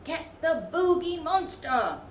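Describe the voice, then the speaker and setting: A woman voicing the feisty, bossy littlest brown bear in a children's storybook, shouting out orders. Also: very high-pitched, very animated, slow, reverberant, slight background noise, pitched above the performer's own voice, exaggerated voice. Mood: combative.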